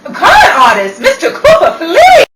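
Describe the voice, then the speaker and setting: A woman acting a brisk, pushy, easily flustered businesswoman realtor in 1940s radio drama style, theatrical and exclamatory. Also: very high-pitched, huge pitch swings, over loud music, full character voice. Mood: flustered, indignant. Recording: echoey room, distant mic, faint noise.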